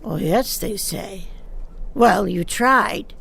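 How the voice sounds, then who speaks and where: An older woman playing a chatty, nosy widowed seamstress in her sixties in an audio drama, with a folksy, rambling, halting delivery. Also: medium pitch, gravelly, a bit bright, slightly rough, full character voice. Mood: wry storytelling. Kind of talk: naturalistic audio drama acting.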